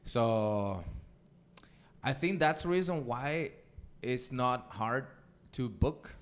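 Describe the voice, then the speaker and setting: A man with a Chilean Spanish accent, a Spanish native speaker, chatting casually as a podcast guest in animated, joking bursts. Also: medium pitch, slow pace. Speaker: band leader and singer, podcast guest.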